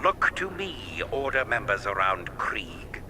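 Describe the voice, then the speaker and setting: Grandiose, swooping sci-fi cult cardinal. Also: male voice, on the low side, very animated, takes it slowly, background noise. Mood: commanding, pious. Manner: grandiose, preaching. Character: pompous male cardinal leading a sun-worshipping space cult. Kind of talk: theatrical game cutscene speech.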